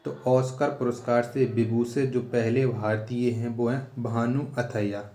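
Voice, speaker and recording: A man's voice: medium pitch, steady tone, unhurried, warm tone. A man teaching exam quiz material, reading out questions, repeating them rhetorically and giving the answers in an instructional way.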